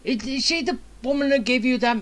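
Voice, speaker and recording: A woman's voice: high-pitched, brisk. A woman streaming a game, giving casual, jokey, reactive commentary as she plays.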